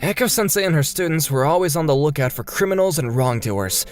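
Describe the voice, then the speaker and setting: Casting: male actor as earnest young cartoon lead narrating his tale, slightly high voice, soft music bed. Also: lively intonation, a little fast, close to an everyday voice. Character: earnest young man, wronged but forgiving storyteller. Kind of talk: scripted manga dub narration.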